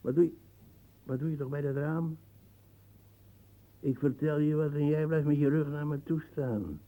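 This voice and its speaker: An actor playing a brutal, boastful old colonial veteran in a radio drama, rambling coarsely and searching for words. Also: male voice, a little high, normal speed, mellow, natural voice, rough recording. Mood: irritated. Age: older. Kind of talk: radio drama acting, monologue reminiscence.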